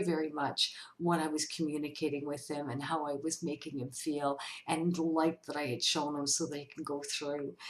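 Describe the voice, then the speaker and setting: A woman with a fairly low voice talks casually to her viewers as the host of a question-and-answer vlog. She is chatty and expressive, addressing the listener directly as she explains things. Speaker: vlog host, psychic medium.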